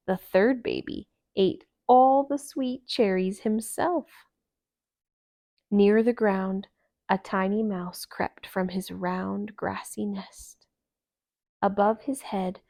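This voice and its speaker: A woman reading a children's story aloud in a calm, expressive and gentle narration. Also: mid-range voice, slow pace, gravelly. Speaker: storybook reader.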